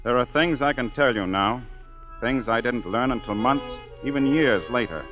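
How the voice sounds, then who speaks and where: An actor playing a solemn storyteller who narrates deliberately in the scripted style of 1940s radio drama. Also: male voice, mid-range voice, lightly acted. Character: smooth, solemn male storyteller recalling a tragic tale. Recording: dull old 1940s radio broadcast. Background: music.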